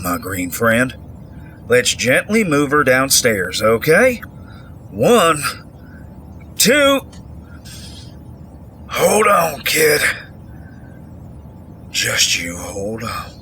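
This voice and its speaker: A man playing a kindly, fatherly doctor in an audio drama, gentle, folksy and reassuring. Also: medium pitch, a little hiss or hum, full character voice.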